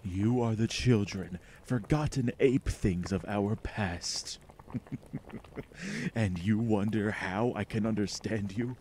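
Casting: arrogant, menacing alien being, radio horror, deep mellow voice, pompous delivery, faint noise behind. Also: male voice. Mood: contemptuous. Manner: slow, pompous, contemptuous declamation. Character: ancient, arrogant, menacing alien mind from a meteor. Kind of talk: retro radio drama acting.

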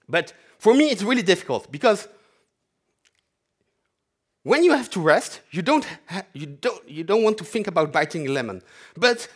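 A man in his forties with a French accent, giving a chatty, self-mocking live demo talk on a conference stage. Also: sits high. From half French, half Dutch. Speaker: conference speaker, developer advocate. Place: large conference hall stage.

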